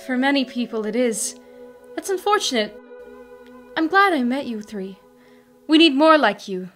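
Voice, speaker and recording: A woman acts a young elf archer in a fantasy audio drama. Her voice is on the high side, plain-spoken and earnest, over soft music. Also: lively intonation, moderate pace. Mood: sincere. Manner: matter-of-fact, sincere.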